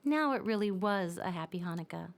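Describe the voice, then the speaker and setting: A woman narrating a scripted children's story in a simple, expressive storytelling manner. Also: lowish voice, brisk.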